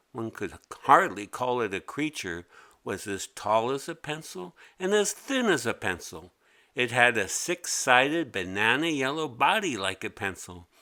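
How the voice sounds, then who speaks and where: A man narrating a scripted children's story in an expressive, deliberate way. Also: mid-range voice. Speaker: author narrating his own children's story.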